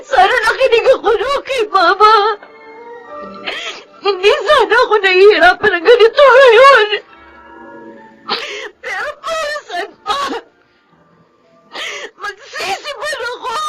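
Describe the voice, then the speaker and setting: High-voiced, tearful Filipino young wife. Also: female voice, animated, takes it slowly, pauses often, a bit bright, soft background music, heavily acted, rough recording. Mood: sobbing regret. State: crying. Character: anguished, resentful pregnant young wife of an absent soldier. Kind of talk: Filipino radio drama acting.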